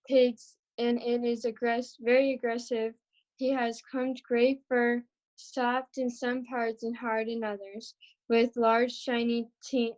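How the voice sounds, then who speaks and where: A woman's voice: fairly high pitch, a little mellow. A woman reading a character description at a script read, with muffled video call audio.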